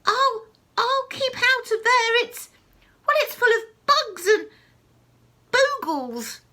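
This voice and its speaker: A woman's voice: very high voice, very animated, takes it slowly, pushed and bright, voice pushed up, exaggerated voice. A woman reading a children's story aloud, voicing an anxious young boy. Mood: worried, pleading. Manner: pleading, fretful calls.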